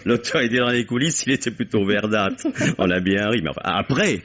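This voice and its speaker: A man's voice: on the low side, lively intonation, a little fast, fairly bright tone. An actor playing a jovial, teasing male lead actor in an old French radio drama, genial and theatrical. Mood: storytelling. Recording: dull old radio recording.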